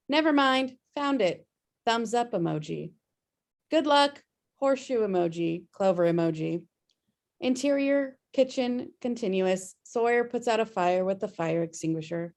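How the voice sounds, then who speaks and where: A woman's voice: mid-range voice, gravelly, darkish tone. A woman reading scripted stage directions as narrator of a table read over a video call.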